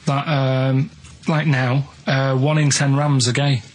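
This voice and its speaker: A man chats casually as a radio co-host. He rambles through odd stories in a matter-of-fact way at a middling pitch, with some noise on the recording. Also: little pitch movement, takes it slowly. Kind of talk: casual chat, telling odd anecdotes.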